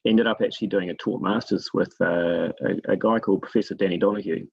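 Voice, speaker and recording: Gravelly low-voiced New man. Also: steady tone, quick pace, a little mellow, no echo. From New Zealand. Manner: rambling, many fillers and restarts. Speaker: guest, forest remote sensing specialist. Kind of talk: casual interview storytelling. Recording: dry, dull lo-fi audio.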